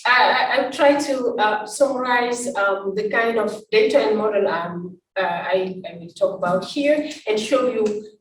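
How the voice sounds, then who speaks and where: Senegalese woman lecturing from a distant mic. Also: medium pitch. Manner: halting, many fillers and restarts. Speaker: invited academic speaker. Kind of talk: conference talk with slides. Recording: roomy, distant, faint noise.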